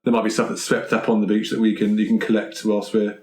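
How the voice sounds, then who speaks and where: A man's voice: fairly low pitch, monotone, quick pace, darkish tone. A man playing a tabletop roleplaying game in a casual, improvised way, speaking in hesitant, repetitive phrases. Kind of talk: casual improvised in-character play. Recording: roomy echo, faint noise.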